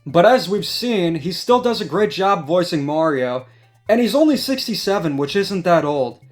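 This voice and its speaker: A man's voice: high-pitched, very animated, normal speed. A man hosting a casual discussion, giving commentary over background music. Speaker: host. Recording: music playing behind the voice.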